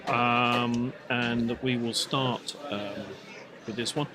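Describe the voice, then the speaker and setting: A man's voice: medium pitch, flat delivery, slow, crowd noise. A man hosting a casual panel discussion, putting questions to the group as moderator. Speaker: podcast host and moderator.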